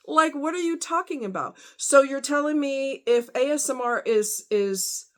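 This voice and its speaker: A woman's voice: high-pitched, animated, moderate pace, a bit bright. A woman talking casually in a rambling opinion vlog, conversational and informal, with slang and rhetorical questions. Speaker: vlogger.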